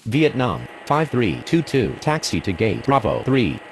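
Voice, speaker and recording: Air traffic radio operator, gravelly, medium pitch, lively intonation, businesslike game dialogue. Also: male voice, measured pace. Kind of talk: scripted aviation radio phraseology.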